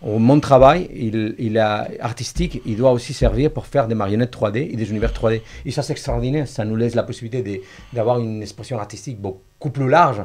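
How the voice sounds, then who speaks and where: A man's voice: mid-range voice, fairly quick, hardly any pauses, a little mellow. A man talking enthusiastically and at length as an interview guest, with rambling, slightly unidiomatic phrasing. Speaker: guest, animation artistic director. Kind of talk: enthusiastic conversational interview answers.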